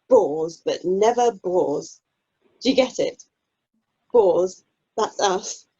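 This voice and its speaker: An amateur actress playing a helpful, matter-of-fact single mum in a radio play. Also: female voice, mid-range voice, dark tone, slightly rough. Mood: proud. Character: helpful, practical single mum, drama club member. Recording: muffled, lo-fi video call audio.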